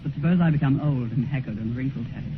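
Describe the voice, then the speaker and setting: An actor playing a vain, heartless young British gentleman in a theatrical, earnest, self-absorbed manner for a 1950s radio drama. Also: mid-range voice, quick pace, close to an everyday voice. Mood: self-absorbed, wistful. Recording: muffled 1950s radio recording, faint noise.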